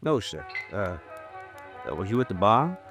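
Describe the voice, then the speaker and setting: Halting, low-voiced folksy bartender. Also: male voice, big pitch swings, fairly bright tone, soft background music. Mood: polite, hesitant. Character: deferential, hesitant male hotel bartender, folksy and uneducated. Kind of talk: old-time radio drama acting.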